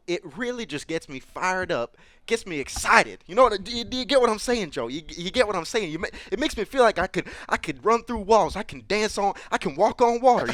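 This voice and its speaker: A man doing a cocky, foul-mouthed college football coach who boasts about his team and keeps heckling the host by name. The voice sits fairly high for a man. It sounds dry, with almost no room echo. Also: fairly bright tone, clear, resonant tone. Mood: fired up. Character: brash profane male college football coach. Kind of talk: improvised comedy interview sketch.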